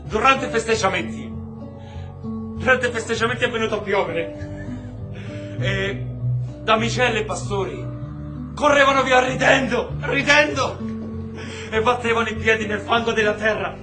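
High-voiced, earnest male detainee played by a man. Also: music in the background, lightly acted. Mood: tender, wistful. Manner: halting, emotive, repeating words. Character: anguished newlywed male taxi driver, detained, earnest. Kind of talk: stage-style radio drama acting, monologue.

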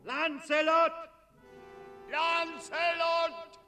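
An actor playing an enraged, imperious dragon tyrant in a radio play, bellowing in long drawn-out calls. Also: male voice, extremely high pitch, flat delivery, bright, forceful tone, soft background music, exaggerated voice. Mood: enraged, searching. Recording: long hall reverb.

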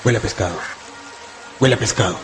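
A man's voice: on the low side, fast talker, soft background music, lightly acted. An actor voicing an earnest, easily hurt young male wolf cub in a radio drama. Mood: hopeful.

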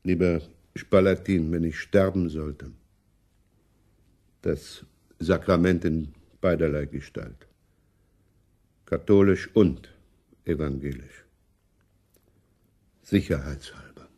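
An actor in a German radio play playing a weary, pious old prince who speaks in a resigned, halting, devout way. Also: male voice, rumbling low, warm tone, heavily acted.

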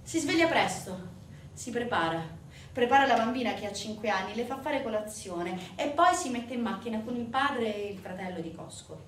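Recorded from a distance in an echoey room with faint noise, a woman narrates a stage monologue. Her delivery is dramatic and emphatic, moving between hushed and forceful phrases with deliberate pauses. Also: a little high, lively intonation. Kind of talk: dramatic theatrical monologue storytelling.

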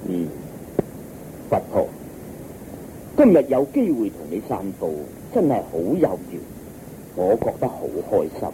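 A man's voice: lowish voice, huge pitch swings, measured pace, long pauses, warm tone, a little hiss or hum, low-quality recording. An actor playing a devout, stern king with a stately, emphatic delivery in Hong Kong Cantonese radio drama. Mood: respectful, earnest. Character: devout, stern king, earnest and easily indignant.

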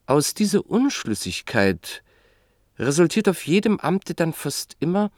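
A man narrating a literary radio drama in a measured storytelling manner. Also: on the high side, sing-song, no room sound. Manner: measured storytelling, reporting speech indirectly.

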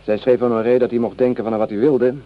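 An actor playing a rueful, well-off young volunteer soldier in a radio play, confiding in an earnest, reflective way. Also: male voice, medium pitch, flat delivery, quick pace, lightly acted. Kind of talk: Dutch radio play acting. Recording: dull, noisy old radio recording.

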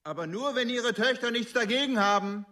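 An actor playing a polite, gentle male house guest in a German radio drama. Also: very high voice, a little fast.

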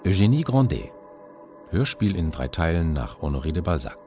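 Male radio announcer, deep voice, formal credit reading over clear music. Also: big pitch swings, moderate pace, mellow.

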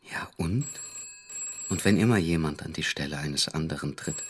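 A man's voice: a bass voice, moderate pace, breathy edge. An actor in a radio drama playing a hesitant, brooding man who muses to himself. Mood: musing.

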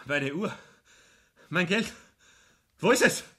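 An actor playing a furious, feverish wounded soldier in a radio play, ranting and stammering accusations. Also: male voice, sits high, animated, slow pace, pushed and bright, breathy edge, exaggerated voice. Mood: furious, accusing.

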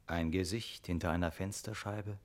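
An actor playing a sober, reflective narrator who tells a literary radio tale in a deliberate, thoughtful manner. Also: male voice, low voice, steady tone, close to an everyday voice. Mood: grave, musing.

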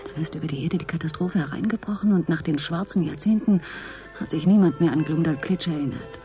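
An actress playing a sober, doubtful woman who thinks through a mystery in a measured way, in a radio drama. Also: deep voice, over music, lightly acted. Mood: pondering. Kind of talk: German radio drama acting.

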